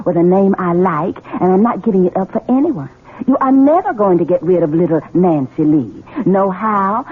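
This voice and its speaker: Scheming Southern wife for radio mystery: haughty, swooping delivery, muffled narrow-band old broadcast sound. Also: female voice, mid-range voice, slightly rough. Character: scornful scheming young Southern wife, a gold-digging schemer. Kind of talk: 1970s radio drama acting.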